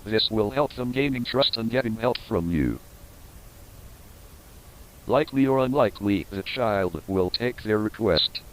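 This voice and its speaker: A man with a fairly low voice reads scripted narration for a mock instructional horror tape. His delivery is formal and explanatory, setting out each point like a guide. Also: measured pace, pauses often, a little hiss or hum, rough recording.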